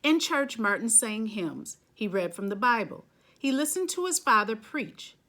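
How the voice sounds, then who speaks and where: A woman reading a children's picture book aloud, phrasing deliberately and stressing key words. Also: fairly high pitch, big pitch swings, fairly bright tone.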